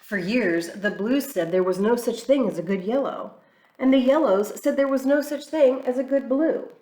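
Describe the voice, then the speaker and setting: A woman reading a children's picture book aloud in an expressive, storytelling way. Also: on the high side, normal speed. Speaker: church reader. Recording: echoey room, mic far off.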